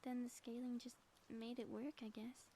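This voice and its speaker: A woman playing a flirtatious, affectionate ex-villain wife in an intimate ASMR audio roleplay, teasing and breathy, often dropping toward a whisper. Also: on the high side, level tone, moderate pace, mellow, natural voice. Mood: fond, teasing. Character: playful, flirtatious ex-villain wife, theatrical yet sappy and affectionate. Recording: faint background noise.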